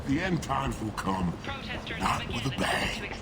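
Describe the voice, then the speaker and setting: An actor playing a zealous, menacing alien street preacher, declaiming bombastically in solemn, archaic apocalyptic sermon style for a video game. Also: male voice, mid-range voice, sing-song, slow pace, heavy background noise. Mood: ominous. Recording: loud music over the voice.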